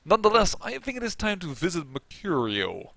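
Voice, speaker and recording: Dutch-accented man, let's play host, bright tone, chatty, self-mocking. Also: high voice, huge pitch swings, a little fast, creaky, no room sound. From Netherlands. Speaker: gaming channel host.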